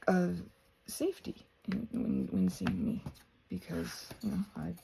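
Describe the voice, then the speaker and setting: An American woman rambling casually while she does crafts, halting and trailing off into soft-spoken asides. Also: fairly low pitch, wildly swooping pitch, vocal fry, a little hiss or hum. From United States. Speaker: craft and ASMR channel host.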